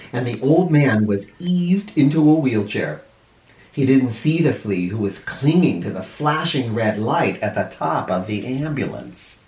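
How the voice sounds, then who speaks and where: A man reads a children's story in an echoey room, his pitch swinging widely. He takes it slowly and leans on words for drama, like a teacher reading to first graders. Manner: expressive, deliberate, dramatic emphasis. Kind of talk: animated storybook read-aloud for children. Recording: roomy, echoey indoor sound.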